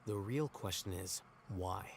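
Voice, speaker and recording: Low-voiced, aloof scholar. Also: male voice, creaky, a little hiss or hum. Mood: dry, composed. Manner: measured, formal, dry. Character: composed, aloof male scholar, dryly intellectual. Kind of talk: video game voice acting.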